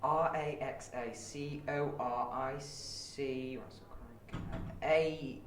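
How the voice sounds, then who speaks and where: A man's voice: medium pitch, fairly even intonation, slow pace, distant mic. Man chatting in a very echoey, noisy room. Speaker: auditionee and fan interviewee. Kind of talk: casual interview chat.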